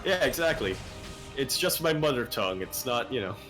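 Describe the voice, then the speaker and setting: A man's voice: mid-range voice, expressive, normal speed, music in the background. A man casting a live video game match, chatty and playful, often trailing off and picking his thoughts back up. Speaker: esports caster.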